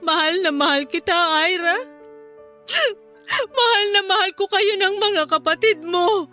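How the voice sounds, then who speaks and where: A woman's voice: very high voice, measured pace, sharp tone, soft background music, exaggerated voice. A woman playing a weary, grieving widowed mother in an emotional radio drama. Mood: tender, tearful.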